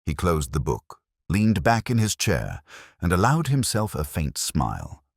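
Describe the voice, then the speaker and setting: A man narrates a scripted detective story in an extremely low voice. His telling is measured and literary, with lively intonation. The sound is dry and close. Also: gravelly, breathy edge, no echo.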